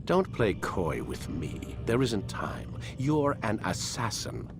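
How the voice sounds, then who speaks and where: An actor playing a cold, ruthless older scientist in a video game, speaking in a measured, formal, lecturing way. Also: male voice, on the low side, sing-song, music in the background. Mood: cold, menacing. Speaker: scientist in charge.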